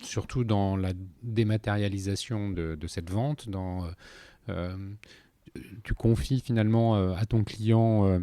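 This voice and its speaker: Low-voiced male podcast interviewer. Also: steady tone, measured pace, mellow, no room sound. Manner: hesitant, repeats words, probing. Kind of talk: interview questions.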